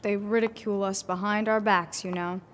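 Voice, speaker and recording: A woman's voice: mid-range voice, steady tone, moderate pace, slight background noise, natural voice. A woman playing an anxious, weary and insistent woman sculptor in a theatrical, pleading radio horror drama. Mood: uneasy.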